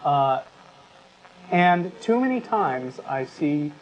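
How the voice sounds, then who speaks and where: A man co-hosting an unscripted talk show, explaining his views in a measured, halting way. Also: on the high side, big pitch swings. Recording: dull lo-fi sound with clear background noise.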